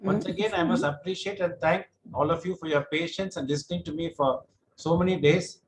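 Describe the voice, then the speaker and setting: A man's voice: on the high side, fairly even intonation, fairly quick, slight background noise, low-quality recording. A middle-aged man lecturing online with a droning voice, answering questions patiently and explaining step by step. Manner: patient, explanatory, some repetition.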